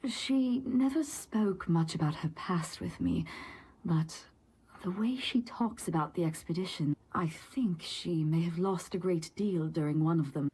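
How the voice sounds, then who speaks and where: Husky, guarded fantasy guide. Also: female voice, fairly low pitch, measured pace, a little mellow, rough recording. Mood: pensive. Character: guarded, composed female guide in a fantasy realm. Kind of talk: scripted game voice acting.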